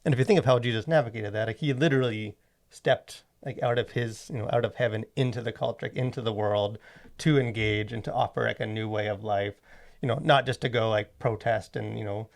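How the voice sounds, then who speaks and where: Rambling man with a creaky voice. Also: on the low side, steady tone. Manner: rambling, halting, packed with "like" and "you know". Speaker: podcast co-host. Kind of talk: casual discussion of faith and culture.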